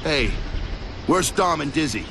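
Slow, gravelly, sardonic soldier. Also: male voice, fairly high pitch, animated, noisy background. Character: sardonic, bitter male soldier. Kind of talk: video game cutscene acting. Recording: loud music behind the voice.

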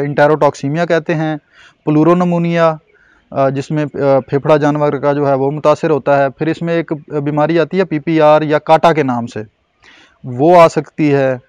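A man in his thirties with a Pakistani Urdu accent speaks in a measured, informative way, pausing on fillers as he explains. His voice sits fairly high for a man. The sound is close and dry, with little room around it. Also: steady tone, darkish tone, smooth, dry recording. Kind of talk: explanatory on-camera interview. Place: outdoors at a covered goat shed.

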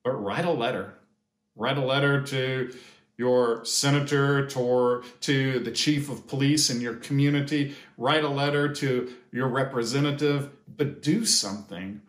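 Slow-paced American man in an echoey room. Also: medium pitch. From United States. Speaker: pastor. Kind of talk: sermon-like address to camera. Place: small indoor sitting room or office.

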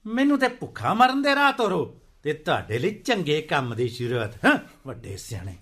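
A man's voice: a little high, big pitch swings, normal speed, fairly bright tone, exaggerated voice. A man acting a stubborn, hot-tempered Punjabi farmer landlord in a radio play, combative and sarcastic. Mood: angry, defiant.

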